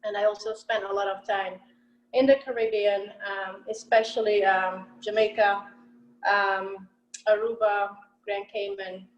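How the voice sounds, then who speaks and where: A woman's voice: mid-range voice, flat delivery, unhurried, reverberant, dull audio, slight background noise. A middle-aged woman from Hungary presenting her baked goods in a casual, hesitant way, with frequent fillers. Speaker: baker presenting her pastries.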